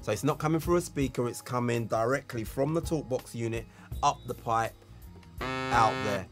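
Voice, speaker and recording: A man's voice: medium pitch, normal speed, a bit bright. A man giving an instructional tutorial as a music tutor, explaining technique with emphasis on key words.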